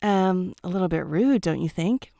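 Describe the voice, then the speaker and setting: A woman's voice: lowish voice, lively intonation, moderate pace, creaky, warm tone, no echo, studio quality. A woman playing the earnest ghost of a wartime doctor in a radio drama, speaking frankly and conversationally. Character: earnest female ghost of a Great War doctor.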